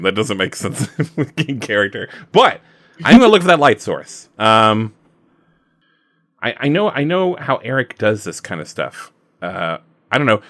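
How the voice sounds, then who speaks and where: Male roleplaying gamer, bright and very animated, casually working things out aloud. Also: mid-range voice, no room sound. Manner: animated, hesitant thinking aloud. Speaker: player in a tabletop roleplaying game. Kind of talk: casual improvised game-table chat.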